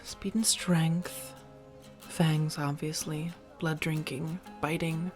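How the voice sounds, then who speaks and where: Woman playing a low, soft-spoken female vampire. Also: level tone. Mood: gentle, amused. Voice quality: soft, breathy whisper at times. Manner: measured, halting, pausing between phrases. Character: protective, secretive female vampire roommate, worldly and wry. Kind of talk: soft-spoken ASMR roleplay. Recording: music under the voice.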